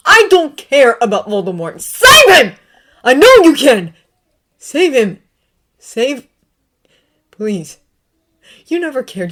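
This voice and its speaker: A woman voicing an anguished, bitter grown man, a brooding teacher, with intense pleading outbursts. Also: fairly low pitch.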